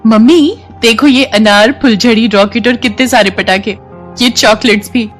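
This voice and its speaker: A woman voicing a pampered, excitable rich little girl in a storybook tale, with an eager, childlike manner. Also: on the high side, normal speed, soft background music, close to an everyday voice. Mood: excited, demanding.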